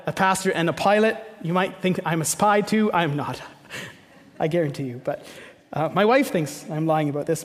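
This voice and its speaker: A man from Egypt preaching a sermon as a pastor, conversational, with emphatic repetition and rhetorical questions. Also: high voice. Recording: long hall reverberation.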